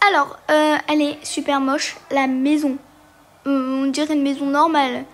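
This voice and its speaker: High-voiced woman chatting through a game. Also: little pitch movement, normal speed. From Portugal. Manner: hesitant, many fillers, chatty. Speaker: gaming video creator. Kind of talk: casual game walkthrough commentary.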